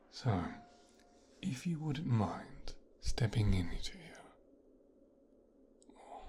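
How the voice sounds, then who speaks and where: A man playing a possessive, smooth-talking son of a mafia boss in an intimate, hushed ASMR audio roleplay. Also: medium pitch. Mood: soothing, possessive. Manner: slow, hushed, half-whispered delivery with deliberate pauses.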